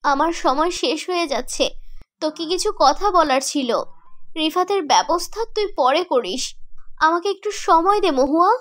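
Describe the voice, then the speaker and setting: A woman's voice: extremely high pitch, measured pace, a bit bright. A woman voicing the vengeful ghost of a betrayed young woman in a horror cartoon, accusing and emphatic. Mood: urgent, sorrowful. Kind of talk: dramatic horror cartoon acting.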